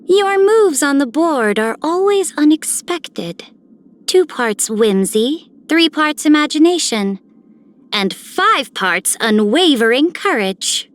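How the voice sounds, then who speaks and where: An actress playing a confident, commanding young strategist in a fantasy video game, with measured, assured phrasing. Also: female voice, high-pitched, big pitch swings, full character voice. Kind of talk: scripted game character voice lines.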